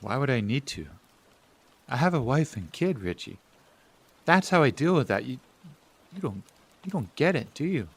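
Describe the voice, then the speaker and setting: A man's voice: mid-range voice, sing-song, normal speed, pauses often, husky. A man acting a frustrated, caring family-man brother in an audio drama, blunt and emphatic. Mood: weary. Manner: blunt, emphatic, swinging between exasperated and hushed.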